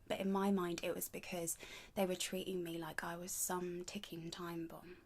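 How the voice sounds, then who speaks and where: A woman acting a dramatic monologue as a frank, sweary, emotionally raw young woman, breathy and often dropping to a near whisper. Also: lowish voice, flat delivery, normal speed. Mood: confiding, shaken.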